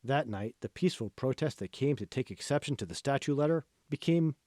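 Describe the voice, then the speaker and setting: A man's voice: mid-range voice, expressive, a little fast, mellow, dry recording. Man narrating an audiobook, close dry sound. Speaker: author reading own audiobook.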